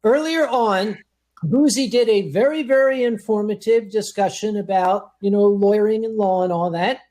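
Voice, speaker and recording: A man on a live comedy panel telling a story in a dry, deadpan way. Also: high-pitched, normal speed.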